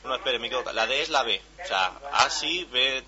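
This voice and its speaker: A man working as a radio prank caller, putting on a deadpan phone survey in a muddled, insistent, pestering way. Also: mid-range voice, a little fast, highs cut off, crowd noise. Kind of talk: deadpan fake telephone survey.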